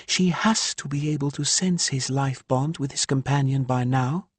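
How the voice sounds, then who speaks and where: Man voicing an exasperated wife, pitched far higher. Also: slightly rough, dry recording, close to an everyday voice. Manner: edgy, drawn-out emphatic speech. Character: irritated, exasperated wife and mother, female healer.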